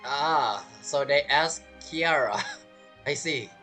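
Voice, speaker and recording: Low-voiced woman giving chatty game commentary. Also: takes it slowly, fairly bright tone, faint music behind. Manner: chatty, musing aloud. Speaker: gaming streamer. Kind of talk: casual game playthrough commentary.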